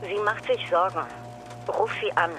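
An actress playing a concerned sister giving a short, urging message in a radio drama. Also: female voice, medium pitch, unhurried, loud music behind the voice, natural voice, poor audio.

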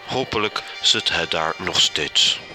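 A man's voice: deep voice, animated, slow pace, over music, rough recording. A man narrating a comic radio play in a mock-solemn announcer manner. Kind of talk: scripted narration.

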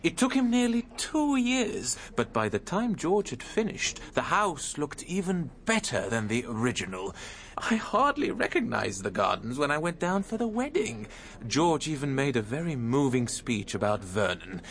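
An actor playing a lively, composed man who recounts a story in a radio drama. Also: medium pitch, moderate pace. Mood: reflective storytelling. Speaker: narrator and character. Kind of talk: dramatic radio narration and dialogue.

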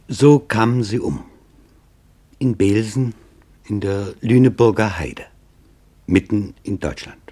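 A man's voice: fairly low pitch, warm tone. Solemn male chronicler. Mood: solemn, measured. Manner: solemn, deliberate. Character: grave, measured male chronicler reporting witness testimony. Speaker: narrator. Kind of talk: scripted German radio drama narration.

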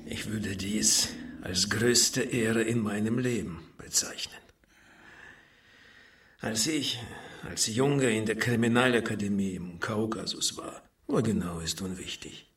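An actor playing a suave, sadistic crime boss in a radio drama, gloating with ceremonious, menacing politeness. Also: male voice, fairly low pitch, fairly bright tone, far from the mic.

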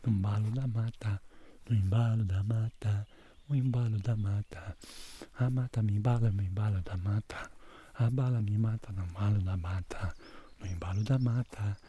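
Low, mellow man musing in repeated phrases. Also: normal speed, lo-fi sound, a little hiss or hum. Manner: repetitive, playful chanting of words. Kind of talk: improvised sound poetry and musing while hiking.

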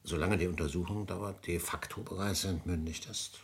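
A man playing a grave, formal counsellor in a radio play, speaking in a measured way. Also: sits low, steady tone, creaky, a little hiss or hum. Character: grave, formal counsellor warning of legal danger. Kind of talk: German radio play acting.

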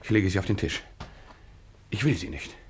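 An actor playing an agitated, earnest tutor in a German radio play, speaking in an urgent, protesting way. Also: male voice, low-pitched, animated, moderate pace, a little hiss or hum.

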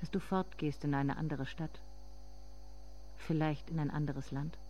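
An actress playing a bitter, grieving grown daughter who addresses herself in a measured, intimate radio monologue. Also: female voice, sits low, fairly even intonation, warm tone. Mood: hushed, brooding.

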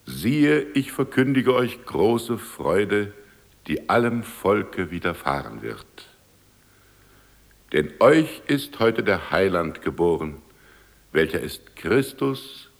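An actor playing a solemn reciter who proclaims scripture slowly in a radio drama. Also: male voice, low voice, very animated, gravelly, heavily acted. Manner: slow, proclaiming. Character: solemn male reciter of the Christmas gospel.

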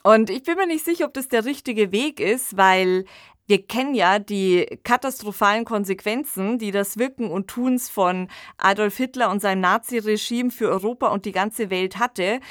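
A woman's voice: mid-range voice, fairly bright tone, no room sound, studio quality. A woman co-hosting a conversational discussion about literature, talking in a reflective podcast style. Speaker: podcast co-host discussing radio plays and books.

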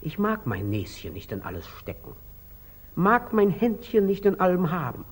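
An actor playing a humble, artful lay brother with a deferential, plaintive manner in 1940s German radio drama style. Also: male voice, high voice, wildly swooping pitch, normal speed, slightly rough, heavily acted. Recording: old muffled 1940s radio recording.

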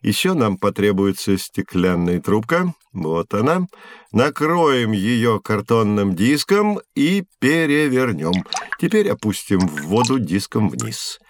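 A man playing a patient science teacher who guides children through a scripted educational audio drama with measured, didactic explanations. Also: fairly low pitch, big pitch swings. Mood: instructive. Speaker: presenter.

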